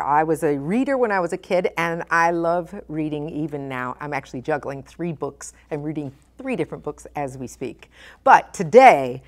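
A middle-aged woman presenting a children's storytime, speaking expressively and with deliberate phrasing for young listeners. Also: deep voice. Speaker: guest storytime reader, nonprofit president. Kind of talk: children's picture book read-aloud. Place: indoor storytime set at a library.

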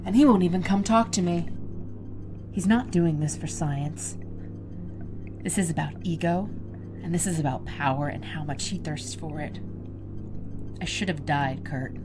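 A woman's voice: sits low, a little slow, long pauses, music in the background. An actress playing a bitter, wry woman kept alive as a severed head in an audio drama, questioning and plaintive. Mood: bitter, despairing.

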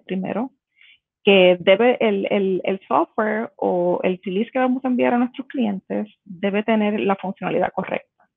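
A woman's voice: medium pitch, no room sound. A woman with a Puerto Rican accent giving a conference talk over a video call, explaining step by step with many fillers and restarts. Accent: Puerto Rican Spanish. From Puerto Rico. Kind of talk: conference presentation. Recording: tinny phone-like video call audio.

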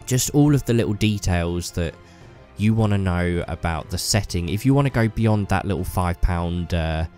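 Deep-voiced man with a stuffy cold. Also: moderate pace, a little mellow, faint music behind. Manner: enthusiastic, rambling with fillers. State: bunged up with a cold. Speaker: YouTuber. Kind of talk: casual hobby vlog talk.

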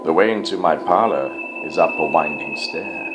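A man voicing a cunning male spider in a storybook poem, flattering and wheedling with courteous charm. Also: rumbling low, normal speed, lo-fi sound, very noisy. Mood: luring. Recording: music behind the voice.

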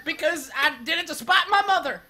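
A woman's voice: high voice, expressive, brisk, crowd noise. A woman voicing goofy, boisterous bit characters in an improvised cartoon parody. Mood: amused. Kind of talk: improvised comic cartoon fandub.

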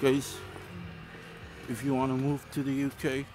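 Slow, halting man vlogging outdoors. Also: mid-range voice, monotone. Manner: halting, speaks in short fragments. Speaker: vlogger giving a walking tour. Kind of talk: casual, unscripted walking commentary. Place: outdoors walking along a residential street. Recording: outdoor street noise, passing vehicles.

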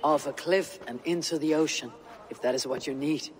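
An actor playing a steady, commanding young Viking war leader in a video game cutscene, with a measured, resolute manner. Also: male voice, on the high side, a little hiss or hum, close to an everyday voice. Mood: grave, counselling.

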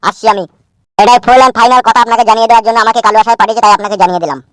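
A man's voice: very high voice, very fast. A man playing a smug, teasing henchman of a corrupt politician in a comic cartoon, mocking and bossy.

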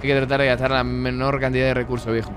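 A man's voice: medium pitch, little pitch movement, racing, fairly bright tone, slight background noise. A man with a Rioplatense Spanish accent bantering in slangy, informal game roleplay. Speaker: video game streamer playing roleplay. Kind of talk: improvised in-game roleplay chat.

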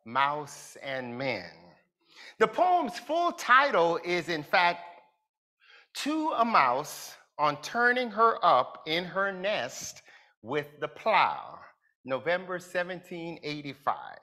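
A middle-aged man preaching as a pastor from a pulpit in a large church hall, speaking deliberately and building emphasis. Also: fairly high pitch, wildly swooping pitch, pushed and bright. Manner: slow, deliberate delivery with rising emphasis. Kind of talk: sermon.

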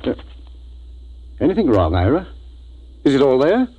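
An actor playing a thoughtful, frank ship's doctor in a 1950s radio drama, explaining things reflectively. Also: male voice, medium pitch, huge pitch swings, moderate pace, mellow, muffled recording. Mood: concerned.

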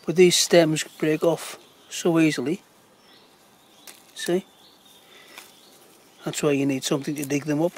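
A man's voice: a little high, steady tone, unhurried, long pauses. A middle-aged man talking casually outdoors in woodland, pointing things out as he digs, filmed on a handheld phone. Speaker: forager. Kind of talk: casual walk-and-talk foraging demo.

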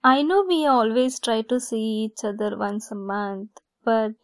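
Actress for a young female best friend in an ASMR romance: lightly high voice, very slow, softly teasing and affectionate. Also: animated, no room sound, studio quality. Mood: playful, fond. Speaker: audio roleplay voice actress. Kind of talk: ASMR roleplay acting.

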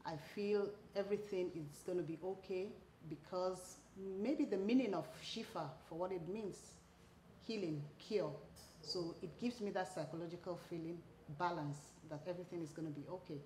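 A woman's voice: lowish voice, normal speed, warm tone. A woman giving an unscripted personal testimonial as a patient. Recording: roomy echo, faint background noise.

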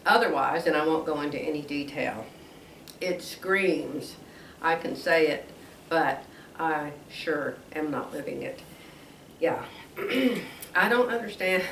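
Older woman, sixties, devotional host, slightly stuffy, unhurried, distant roomy sound. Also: low-pitched, a bit bright, slight background noise. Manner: chatty, laughs often, drawn-out emphasis. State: stuffy with allergies, wheezy. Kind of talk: casual devotional talk. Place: room with a quilt backdrop. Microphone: camera at a distance, standing at a lectern.

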